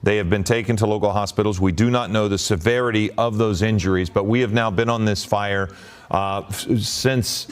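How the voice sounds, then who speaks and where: A man anchoring live breaking news coverage, ad-libbing in a halting way with repeated words. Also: fairly low pitch, steady tone, smooth.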